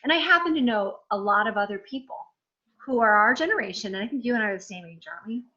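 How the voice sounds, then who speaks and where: A woman in her forties hosting a casual conversation, talking in an animated, frank way, swearing freely and often restarting her sentences. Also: medium pitch, fast talker, lo-fi sound. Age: 40s. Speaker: host.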